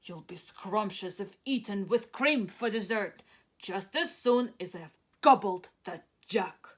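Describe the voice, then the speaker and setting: A woman plays a grisly, grumpy giant with a taste for children. The voice booms out storybook rhymes slowly, drawing out the words over music. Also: lively intonation. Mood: grudging, menacing. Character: grumpy, grisly, child-eating giant man, softening kindly.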